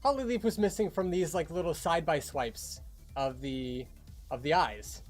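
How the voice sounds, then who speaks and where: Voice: medium pitch, a little slow. A young adult hosting a casual livestream about a fan animation project, talking in an informal way. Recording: music behind the voice.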